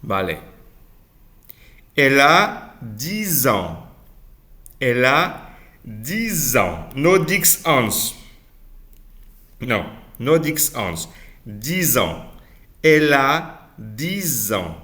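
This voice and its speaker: A male teacher drilling short phrases at a very slow, deliberate pace. He says each model sentence carefully and repeats it, then stops to correct pronunciation. His pitch sits at an ordinary middle level. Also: very animated, pushed and bright. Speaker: French teacher.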